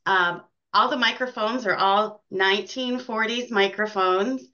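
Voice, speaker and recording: A woman's voice: medium pitch, a little slow, pushed and bright. An American woman chatting casually as an interview guest about her work in community theater. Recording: dull, lo-fi sound.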